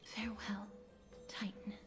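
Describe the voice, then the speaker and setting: A woman playing a gentle, solemn young woman in a video game, speaking formally in a soft, breathy, tender voice. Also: medium pitch, flat delivery, a little slow, whispered, close to an everyday voice. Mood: tender, mournful. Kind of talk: scripted video game voice acting. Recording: game music over the voice.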